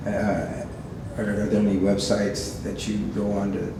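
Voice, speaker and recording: Male volunteer interviewer, low voice, halting delivery; echoey, distant recording. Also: monotone. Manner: hesitant, many fillers and restarts. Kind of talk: oral history interview. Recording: echoey room, far mic, background noise.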